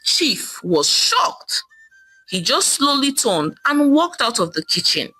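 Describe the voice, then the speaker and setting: A Nigerian woman narrates a folktale in expressive oral style. Her voice is rather high and bright, with lively swings in pitch. Faint music plays underneath. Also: takes it slowly, husky, low-quality recording. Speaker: storyteller. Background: soft music.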